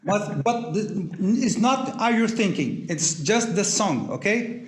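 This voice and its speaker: Echoey, slow man with halting English. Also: sits high, lively intonation. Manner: halting, repetitive non-native English. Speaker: panelist, music arranger. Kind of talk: casual explanation on a video call. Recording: roomy, echoey video-call microphone.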